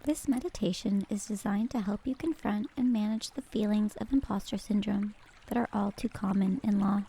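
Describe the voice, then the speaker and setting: Mellow woman guiding a meditation. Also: medium pitch, gravelly, slightly rough. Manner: calm, gentle, measured. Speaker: meditation guide. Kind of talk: scripted guided meditation narration.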